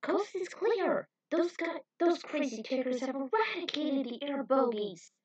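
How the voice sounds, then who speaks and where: A woman voicing an overzealous mutant monster soldier, boastful and excited, in an action story. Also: sits high, pitched above the performer's own voice. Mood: gleeful, boastful. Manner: boastful, excited, drawn-out shouts.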